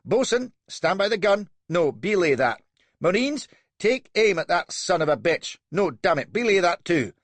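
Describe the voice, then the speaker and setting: A man voicing an eager, hot-tempered young naval officer who barks orders in a high, cracking voice. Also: normal speed, few pauses, no room sound. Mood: furious. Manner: excitable, shrill, barking orders.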